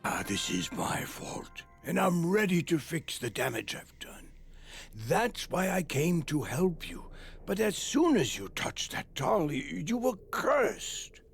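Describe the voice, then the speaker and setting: An actor playing a remorseful, mysterious helper in a game, with a husky, breathy, creaky voice and halting, urgent delivery. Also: male voice, fairly high pitch, sing-song, faint music behind, heavily acted. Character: remorseful mysterious man offering help.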